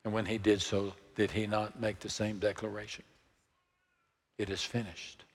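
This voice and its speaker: A man in his sixties with a Texan accent, preaching a sermon in a slow, measured way that sinks to hushed, near-whispered lines. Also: on the low side, level tone, slightly rough, long reverb tail. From Texas. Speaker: pastor.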